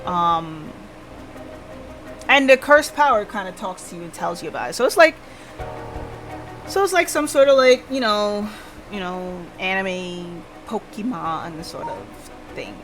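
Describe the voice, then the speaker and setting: A woman's voice: medium pitch, expressive, measured pace, pauses often, a bit bright. A woman livestreamer casually recapping a game, thinking aloud and checking in with listeners, with music behind her. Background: background music.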